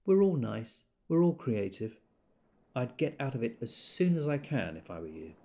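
An actor playing a chatty, opinionated teacher in an audio drama monologue, wry and confiding. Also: male voice, medium pitch, big pitch swings, moderate pace, creaky, dark tone. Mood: wry, resigned. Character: chatty, opinionated teacher dispensing blunt worldly advice.

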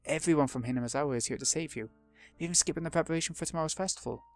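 A man's voice: fairly high pitch, rapid-fire, soft background music, pitched above the performer's own voice, lightly acted. A man voicing an earnest, eager boy reassuring a friend.

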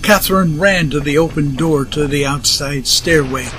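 A man's voice: fairly high pitch, moderate pace, pushed and bright. A man narrating a dramatized audiobook story.

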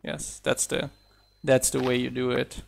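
Man with slight German accent, slow. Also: medium pitch, level tone, gravelly. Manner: chatty, thinks aloud with restarts and laughs. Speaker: mod developer streaming gameplay. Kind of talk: casual live-stream chat while playing.

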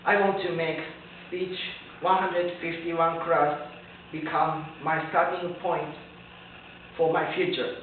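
Echoey, slow-speaking young Japanese-accented man. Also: on the high side, little pitch movement. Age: young adult. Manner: halting, careful non-native phrasing. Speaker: student speaker. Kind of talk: prepared commencement speech. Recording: tinny, echoey distant recording with faint noise.

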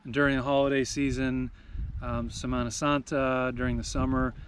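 A man in his forties, seated outdoors beside a truck camper, talks into a tripod camera in an easygoing travel-vlog tone. His voice sits at a middle pitch for a man. Clear outdoor noise runs underneath the whole recording. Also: moderate pace. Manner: relaxed, conversational, enthusiastic about scenery. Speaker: travel vlogger. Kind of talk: casual travel vlog chat.

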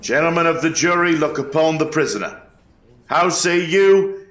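A colonial court clerk, played by a man, proclaims in a high voice with ceremonial formality. His ritual, declamatory delivery rings in an echoey room, as in a historical trial reenactment. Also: normal speed, a bit bright, natural voice. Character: formal eighteenth-century court clerk taking jury verdicts.

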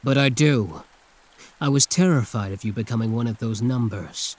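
Guy doing possessive villain who used to be a hero, asmr roleplay, mid pitch, drawn-out condescending but protective. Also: darkish tone, lightly acted. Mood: intense, protective. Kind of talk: intimate ASMR roleplay monologue.

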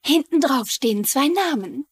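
An actress playing a placating, chatty wife in a dubbed crime drama. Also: female voice, sits high, animated, normal speed, dry recording. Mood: helpful, explanatory. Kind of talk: German dubbed TV crime series acting.

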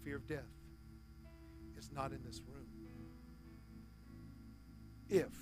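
A man in his forties, a pastor preaching a sermon on a church stage, swinging from loud exhortation to soft, near-whispered appeals. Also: medium pitch, fairly even intonation, takes it slowly, over loud music.

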